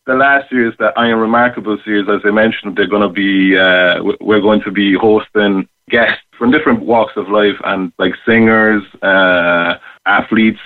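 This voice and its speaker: A man, speaking as an interview guest in a halting but enthusiastic way over a thin phone line. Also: on the low side, steady tone. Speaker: guest, rapper. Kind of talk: radio interview.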